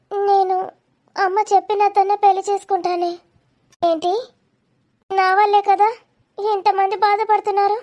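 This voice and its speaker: A woman acts out village women of an animated family drama, from young friends to a worried mother. The voice is extremely high and small in colloquial dialogue.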